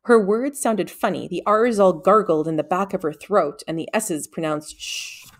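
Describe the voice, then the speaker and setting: A woman reading a storybook aloud in expressive narration. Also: on the low side, normal speed. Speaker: audiobook reader.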